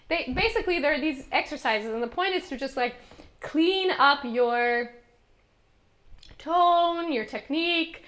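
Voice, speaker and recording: High-voiced woman chatting slowly. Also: fairly bright tone. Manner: chatty, self-mocking, laughs often. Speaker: singer streaming vocal practice. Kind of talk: casual chat while practicing singing.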